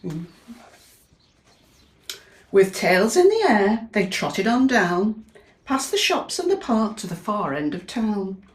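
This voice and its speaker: A British woman teacher reading a picture book aloud to young children, expressive and chatty, often asking her listeners questions. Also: medium pitch, slow, pauses often. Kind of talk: animated children's storytime read-aloud with chatty asides. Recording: echoey, reverberant room.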